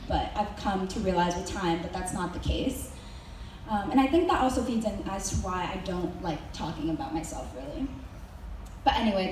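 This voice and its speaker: A woman's voice: on the low side, darkish tone, slight background noise. A young woman from Mexico giving a senior presentation to her school, hesitant with many ums and dry joking asides. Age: young adult. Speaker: student presenter. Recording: very echoey hall recorded from far off.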